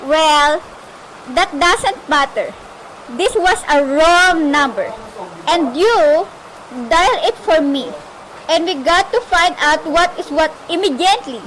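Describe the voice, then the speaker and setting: A woman's voice: very high-pitched, expressive, slow pace, a bit bright, exaggerated voice. A woman playing a frantic, imperious telephone caller in an amateur suspense radio play, melodramatic and insistent. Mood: indignant, demanding. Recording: noisy amateur recording with faint hiss.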